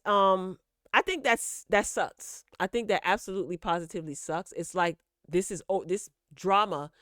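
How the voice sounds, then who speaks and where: A woman's voice: medium pitch, fairly bright tone, smooth, no echo, clean studio sound. A woman host giving casual, opinionated gossip commentary, speaking emphatically and straight to her listeners, repeating words for emphasis. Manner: emphatic, direct address to listeners with repetition for emphasis.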